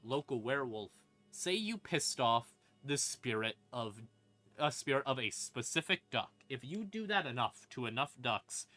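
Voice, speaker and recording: Bright-voiced man streaming casual lore talk. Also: medium pitch, no echo. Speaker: streamer explaining game lore. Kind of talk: casual, rambling lore explanation. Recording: music playing behind the voice. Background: creepy ambient music.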